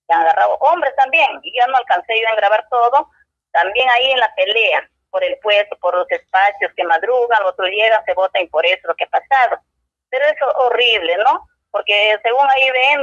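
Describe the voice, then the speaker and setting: A woman's voice: on the high side, a bit bright, dry recording. Brief: Peruvian Spanish woman shopkeeper, indignant and rambling, dull dry lo-fi recording. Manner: rambling, indignant, with many restarts. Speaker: local shop owner interviewed. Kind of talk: street interview.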